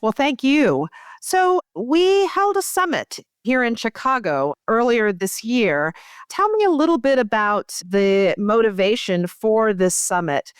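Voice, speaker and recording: Woman, American accent, clear resonant voice, interview host, conversational, dry close sound. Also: medium pitch, dry recording. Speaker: podcast host and journal editor-in-chief.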